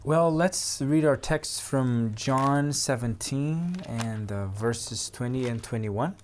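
A man's voice: medium pitch, slow pace, slight background noise. A young man from Brazil, a native Portuguese speaker with a Brazilian accent, speaking earnestly as a theology student guest. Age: young adult.

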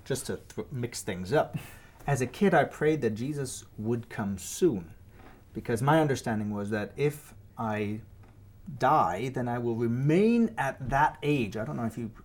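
A man hosting a religious discussion show, chatty and relaxed, sharing a childhood story with a laugh. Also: mid-range voice, a little slow. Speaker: host. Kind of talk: casual talk show hosting.

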